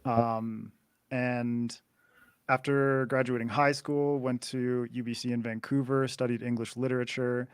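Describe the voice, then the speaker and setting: A man's voice: mid-range voice, a little slow, clean tone, dry recording. A Canadian man, a podcast guest, chatting casually and rambling a little over a video call. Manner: relaxed, rambling, swears casually.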